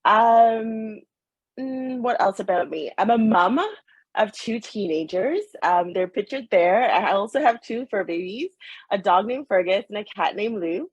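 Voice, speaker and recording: A woman's voice: medium pitch, lively intonation, measured pace, husky, no echo, muffled recording. A middle-aged woman from Saint Lucia, a nurse and hospital administrator, giving a friendly, conversational talk on a video call.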